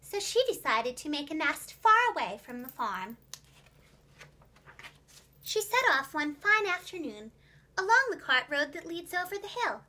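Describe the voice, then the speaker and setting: Female children's storyteller: high, bright voice, expressive and clearly enunciated, scripted storybook narration. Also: measured pace, long pauses. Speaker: preschool teacher and children's storyteller.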